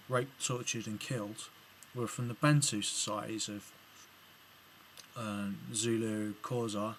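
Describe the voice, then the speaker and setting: English male vlogger, mid-pitched, halting delivery with lots of fillers, light background hiss. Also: fairly bright tone, rough recording. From England. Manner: hesitant, many ums and restarts. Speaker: video blogger replying to another video. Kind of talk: casual spoken commentary to camera.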